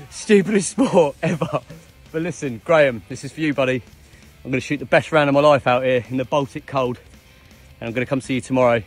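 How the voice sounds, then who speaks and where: A man filming a loud, swearing, affectionate message outdoors on a freezing golf course. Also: mid-range voice. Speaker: golfer. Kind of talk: casual video message.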